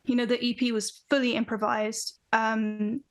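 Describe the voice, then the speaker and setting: A young woman, a band vocalist guest on a podcast, talking in a thoughtful, animated way with frequent restarts over a video call from a room at home. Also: on the high side, moderate pace. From Britain. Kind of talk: casual interview chat. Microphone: video call, webcam.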